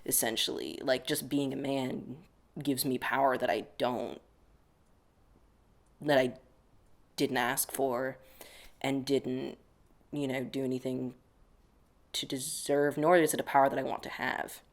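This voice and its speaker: A man's voice: medium pitch, little pitch movement, unhurried, pauses often. A young man from the United States, answering interview questions in a reflective, casual way, often saying "like". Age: young adult. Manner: reflective, many filler "like"s.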